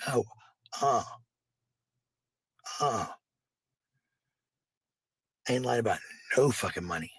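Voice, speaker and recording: A man's voice: mid-range voice, expressive, slow pace, long pauses, breathy edge, no echo. A man telling personal stories in a casual, blunt, defensive way, with some swearing. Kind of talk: casual personal storytelling and venting.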